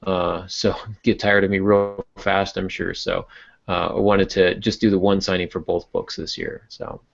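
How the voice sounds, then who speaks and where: A man with a deep voice hosts a casual podcast. He explains things chattily and pokes fun at himself. The audio is dull and lo-fi. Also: little pitch movement, unhurried, vocal fry. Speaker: podcast host and novelist.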